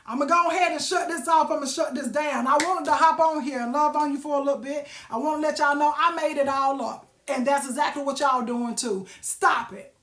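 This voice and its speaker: Woman host, raspy, preachy, and folksy. She asks the audience questions. Phone mic, roomy sound. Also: high voice, brisk, few pauses, fairly bright tone. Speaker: live-stream host. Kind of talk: motivational casual talk. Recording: roomy echo.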